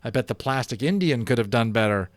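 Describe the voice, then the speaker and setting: A man's voice: mid-range voice, animated, quick pace, no room sound, natural voice. A man reading a children's book aloud, voicing a teasing, disbelieving young schoolboy who keeps pushing with skeptical questions. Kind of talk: storybook character voice.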